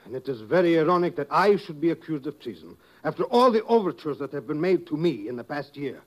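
A man's voice: on the high side, very animated, fast, highs cut off. An earnest, embattled statesman. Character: troubled male head of a government, proud but cornered. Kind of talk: 1950s radio drama acting.

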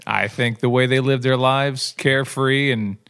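A man's voice: medium pitch, steady tone, normal speed, a bit bright, clean tone. A man co-hosting a podcast in casual chat, exasperated and sarcastic. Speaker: podcast co-host.

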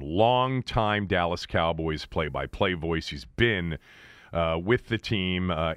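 A man hosting a sports podcast, giving news and analysis in a halting, self-correcting manner. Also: fairly low pitch, fairly bright tone, no echo, clean studio sound.

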